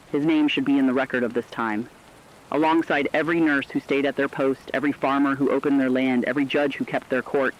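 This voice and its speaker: A man playing a solemn, compassionate radio broadcaster, delivering a scripted monologue earnestly and deliberately. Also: medium pitch, muffled recording, natural voice. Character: solemn, compassionate male broadcaster chronicling a collapsing world.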